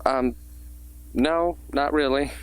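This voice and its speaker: A man's voice: medium pitch, fairly even intonation, takes it slowly, clean tone, dry recording, lightly acted. A man playing a likeable, bashful, joking teenage boy in a radio drama.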